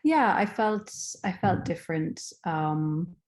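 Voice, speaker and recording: A woman's voice: on the low side, expressive, takes it slowly, darkish tone. A woman with an Irish accent, a guest on a casual interview, talking with many ums and restarts. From Ireland. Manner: hesitant, many ums and restarts, laughs easily.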